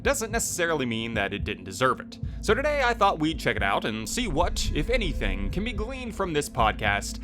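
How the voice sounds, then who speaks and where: A man's voice: fairly high pitch, wildly swooping pitch, a little fast, few pauses. A man giving scripted narration as a video host. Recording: music playing behind the voice. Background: loud music.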